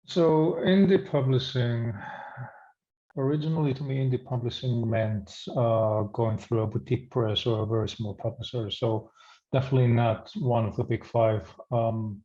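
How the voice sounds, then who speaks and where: Greek-born male novelist panelist, mellow, thoughtful, dull lo-fi audio. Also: medium pitch, fairly even intonation. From Greece. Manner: thoughtful, measured, with frequent ums. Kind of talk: online panel discussion.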